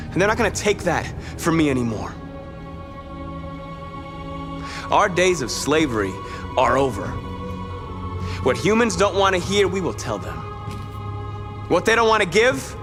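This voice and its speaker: A man's voice: high voice, sing-song, moderate pace. A man playing a defiant android rebel leader giving an oratorical speech in a video game. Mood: rousing defiance. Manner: oratorical, building from hushed to forceful. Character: defiant male android rebel leader rallying his people. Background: dramatic music.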